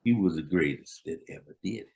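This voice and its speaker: A man's voice: a bass voice, fairly quick, a little mellow, muffled recording. An older man, a musician guest, casually chatting and retelling old stories in a laid-back, slangy way. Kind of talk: casual chat, storytelling.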